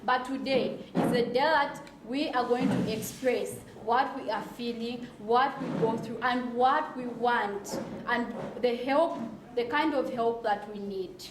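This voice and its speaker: High-voiced woman in an echoey, noisy room. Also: fairly even intonation, a little slow, hardly any pauses. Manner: emphatic, repetitive phrasing. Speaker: advocate for people with albinism. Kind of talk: impromptu speech to news. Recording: roomy echo, noisy background, clicks.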